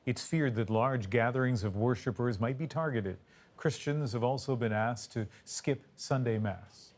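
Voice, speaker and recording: Male anchor, mid-pitched, crisp and authoritative. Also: normal speed. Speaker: news anchor. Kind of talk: news anchoring.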